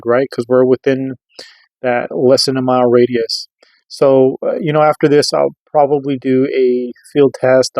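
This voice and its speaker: A man's voice: mid-range voice, a little mellow, no room sound, poor audio. A middle-aged American man giving a casual product review, explaining things in an informal, rambling way. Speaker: radio reviewer.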